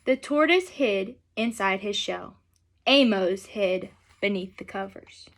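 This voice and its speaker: A woman's voice: medium pitch, lively intonation, slow pace. A young adult woman reading a picture book aloud expressively and putting questions to children in an instructional way. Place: small furnished room at home, indoors.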